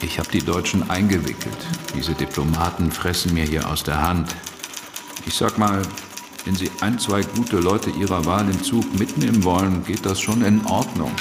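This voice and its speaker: Low-voiced scheming dealmaker, echoey hall. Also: male voice, normal speed. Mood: brisk, smug. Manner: clipped, brash, self-assured. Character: confident scheming male political dealmaker dictating urgent messages. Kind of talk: German radio play acting. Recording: echoey hall, long reverb.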